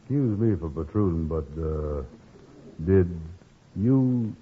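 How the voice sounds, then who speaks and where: An actor playing a slow-witted, lovelorn man with a hesitant, muddled way of talking in an old radio comedy. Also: low-pitched, lively intonation. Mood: muddled, wary. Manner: dim, hesitant, malapropisms. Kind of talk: 1950s radio comedy acting. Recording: noisy, phone-like old radio recording.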